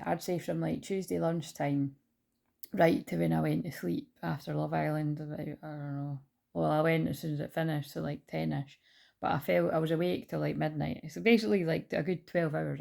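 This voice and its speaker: A woman's voice: sits low, steady tone, moderate pace, gravelly, mellow. A woman hosting a spiritual channel, chatting casually into a phone she holds at home, rambling with many fillers and restarts. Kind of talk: casual talk to camera. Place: at home beside her dog. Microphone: phone held in hand.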